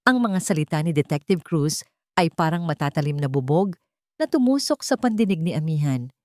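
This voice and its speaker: A woman with a Filipino accent reads a scripted dramatic story in a measured storytelling manner. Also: lowish voice, darkish tone, no echo, clean studio sound. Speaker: story narrator. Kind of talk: scripted dramatic narration of a Tagalog love story.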